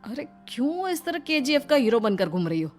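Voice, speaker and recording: A woman's voice: sits high, very animated, a little fast, a little mellow, faint music behind. A woman voicing a tired, exasperated young husband who grumbles wearily in a cartoon moral story. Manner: weary, grumbling.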